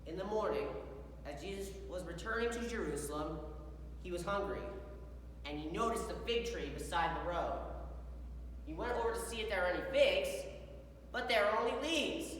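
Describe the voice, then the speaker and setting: A woman's voice: on the low side, expressive, normal speed, pauses often. Echoey young woman reciting scripture dramatically. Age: young adult. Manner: theatrical, swings from hushed to shouted. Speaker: youth performer at a church festival. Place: large echoey indoor room. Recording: echoey hall, far from mic, hum.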